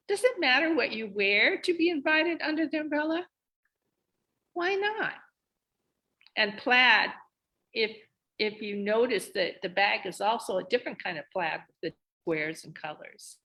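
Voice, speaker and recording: A woman co-presenting a children's read-aloud, thinking aloud and asking expressive questions. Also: a little high, measured pace, long pauses. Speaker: reading teacher, co-presenter. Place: online video session.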